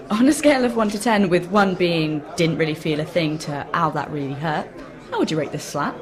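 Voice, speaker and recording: A woman's voice: on the low side, normal speed, a crowd in the background, close to an everyday voice. A woman playing a self-assured, insistent young woman in a comic radio play, with a precise, methodical way of questioning. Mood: indignant, demanding. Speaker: actor in a short comedy play. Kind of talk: comic two-hander radio drama dialogue.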